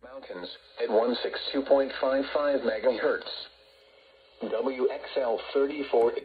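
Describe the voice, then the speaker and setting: A man's voice: medium pitch, measured pace, narrow, tinny audio. A synthetic male announcer reading a formal scripted weather bulletin, heard through a radio speaker.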